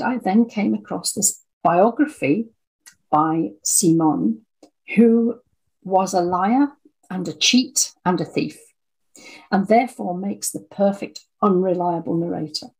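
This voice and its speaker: A woman's voice: fairly low pitch, a little mellow, breathy edge, rough recording. A woman in her sixties, an interview guest telling her own story in a reflective, conversational way. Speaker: audio drama writer and producer, interview guest.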